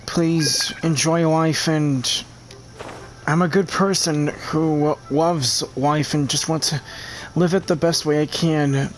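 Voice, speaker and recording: Higher-voiced man, halting, with faint noise. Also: fairly even intonation, low-quality recording. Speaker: video channel host. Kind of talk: informal sign-off to subscribers.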